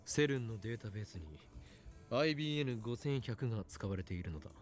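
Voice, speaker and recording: Man voicing self-important young male game lead, theatrical, lowish voice, very rapid speech. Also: lively intonation, natural voice. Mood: assertive explaining. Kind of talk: Japanese visual novel voice acting. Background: game music.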